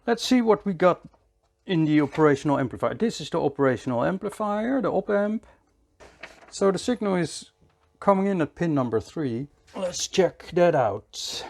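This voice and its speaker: A man giving a hands-on electronics repair tutorial in a measured, step-by-step way, often repeating short phrases. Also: fairly high pitch, very animated, creaky, darkish tone.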